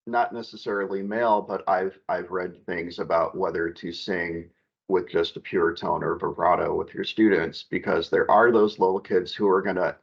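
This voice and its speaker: A man, an elementary music teacher, talking casually as a guest on a video call, relaxed and chatty with many fillers. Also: deep voice, moderate pace, vocal fry, breathy edge. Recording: dull lo-fi video call audio.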